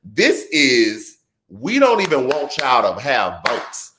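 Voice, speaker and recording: Animated man in his thirties hosting a live political show. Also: mid-range voice, takes it slowly, noisy background. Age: 30s. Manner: animated and conversational, drifts into chatty asides and laughs easily. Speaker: political talk show host. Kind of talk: live-streamed political commentary, reading viewer comments.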